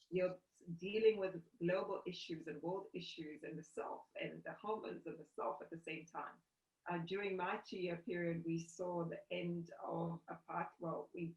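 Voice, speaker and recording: A middle-aged woman from South Africa, a company CEO, talking earnestly in a video call interview from a home room, often restarting her phrases. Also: fairly low pitch. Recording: roomy, distant, dull video call audio.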